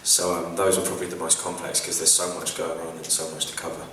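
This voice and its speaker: Young man, deep bright voice, halting, far echoey theatre. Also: monotone, slight background noise. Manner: halting, many fillers and restarts. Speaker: actor on a festival panel. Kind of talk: post-screening Q&A answers. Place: large theatre.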